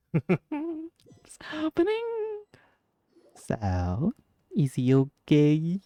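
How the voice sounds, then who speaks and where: A man's voice: fairly high pitch, wildly swooping pitch, very slow, warm tone, no room sound. A man doing casual, playful reaction commentary on a game, sometimes reading the on-screen text aloud. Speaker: gamer doing a playthrough.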